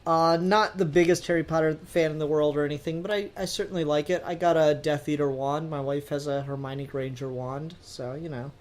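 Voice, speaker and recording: A man's voice: a little high, steady tone, fairly quick, hardly any pauses. A young adult man hosting a podcast in casual chat, with teasing, sweary banter. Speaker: podcast host.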